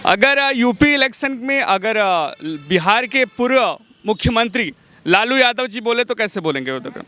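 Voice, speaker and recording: Male interview guest from Jharkhand, polite, slightly halting, high clean voice. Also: expressive, pushed and bright. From Jharkhand, India. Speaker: mimicry artist, guest. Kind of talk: interview answers.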